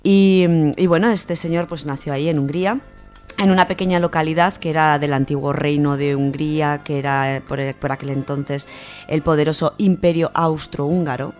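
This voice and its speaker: A woman's voice: deep voice, fairly even intonation, normal speed, a little mellow, soft background music. A woman with an Aragonese Spanish accent presents a literary segment on a radio show, speaking in a chatty, explanatory way with many fillers.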